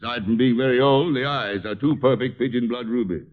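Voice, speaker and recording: An actor playing a haughty, irritable wealthy art collector in an old radio drama, with pompous, formal, disdainful delivery. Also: male voice, mid-range voice, fairly quick, natural voice. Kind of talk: 1950s radio detective drama acting. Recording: dull band-limited old radio recording.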